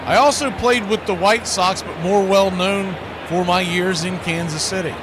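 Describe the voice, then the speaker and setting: Male baseball play-by-play host, high register, clipped punchy calls with chatty asides, noticeably noisy background. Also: steady tone, normal speed. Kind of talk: play-by-play commentary mixed with casual chat.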